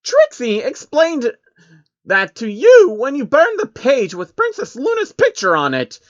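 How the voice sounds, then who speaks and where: A man's voice: very high voice, huge pitch swings, a little slow, a bit bright, muffled recording, pitched above the performer's own voice, heavily acted. A man voicing a boastful, eager female unicorn magician with a theatrical manner in a storybook reading. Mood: exasperated deadpan. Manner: theatrical, refers to self in third person. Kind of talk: storybook character voice in amateur fan fiction reading.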